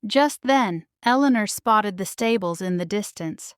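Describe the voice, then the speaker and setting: Smooth-voiced woman reading a bedtime story. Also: mid-range voice, expressive, a little slow, no room sound, studio quality. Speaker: storybook narrator. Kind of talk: scripted narration of a children's bedtime story.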